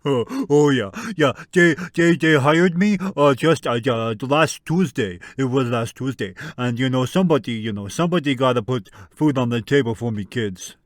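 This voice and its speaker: A man puts on a goofy, bumbling would-be Santa for a radio comedy skit. He rambles and stammers in broken grammar at a middling male pitch. The sound is dry, with no room around it. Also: moderate pace, few pauses, no echo, close to an everyday voice. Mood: flustered. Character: bumbling, talkative odd male character playing mall Santa. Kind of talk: comic radio skit dialogue.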